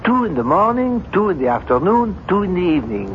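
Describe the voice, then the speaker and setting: Brusque doctor, fairly high voice, theatrical, clipped, noisy old radio drama recording. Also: male voice, sing-song, fast. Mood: brisk, probing. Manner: clipped, emphatic, theatrical questioning. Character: brusque, skeptical, authoritative male doctor. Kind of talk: 1970s radio drama acting. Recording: muffled, noisy 1970s radio broadcast.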